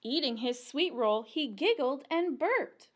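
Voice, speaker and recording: Lady doing library storytime for little kids, high voice, really slow sing-song reading of rhymes. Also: very animated. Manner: deliberate, sing-song storyteller delivery addressed to small children. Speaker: children's librarian and storytime reader. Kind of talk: expressive read-aloud of rhyming picture book for young children.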